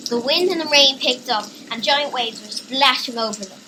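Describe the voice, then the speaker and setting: A woman's voice: high-pitched, normal speed. A woman reading a scripted story as narrator. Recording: noisy amateur home recording.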